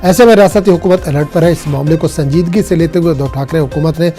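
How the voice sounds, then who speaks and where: A man's voice: fairly high pitch, quick pace, mellow, faint music behind. A man anchoring the news, reading scripted reports and putting questions to a reporter.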